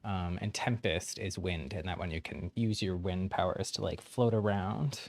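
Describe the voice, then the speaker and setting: Male livestreamer, lowish pitch with plenty of vocal fry, friendly and encouraging, casual explainer for new players. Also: normal speed. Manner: chuckles often while talking. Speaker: game streamer. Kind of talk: casual livestream chat explaining a game to newcomers.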